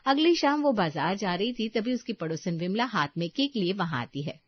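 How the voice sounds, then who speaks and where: A woman's voice: mid-range voice, lively intonation, moderate pace, dry recording, muffled recording. A woman reading scripted narration for a bedtime story.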